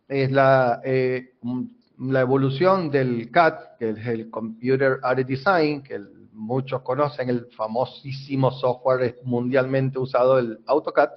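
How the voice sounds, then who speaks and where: A man's voice: medium pitch, highs cut off. A man from Venezuela, a civil engineer explaining his field as an interview guest, with frequent restarts and fillers. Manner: halting, repeats words, many fillers.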